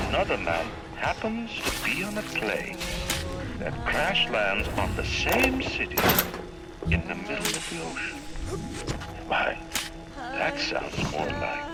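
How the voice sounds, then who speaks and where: An imperious older city founder in a video game, lofty and commanding with a theatrical, oratorical sweep. He speaks slowly and with great weight, his pitch rising and falling dramatically from line to line. Orchestral music plays loudly behind him. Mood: mocking, wry. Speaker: game character. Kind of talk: video game voice acting, audio diary monologue. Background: orchestral game score.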